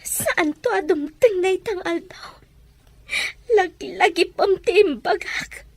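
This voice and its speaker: An actress playing a distressed, pleading daughter in a radio drama, with a tearful delivery. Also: female voice, extremely high pitch, takes it slowly, dry recording, exaggerated voice. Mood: tearful, hurt.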